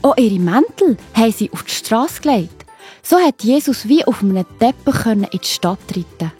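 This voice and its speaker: A woman with a Swiss German accent, gently narrating and explaining a Bible story to children. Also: fairly high pitch, very animated, normal speed, slightly rough, faint music behind.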